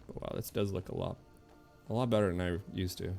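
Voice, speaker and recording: Low, gravelly, mellow male game streamer. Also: steady tone, brisk. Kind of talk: casual live gameplay commentary, sometimes reading game text aloud. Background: faint game music.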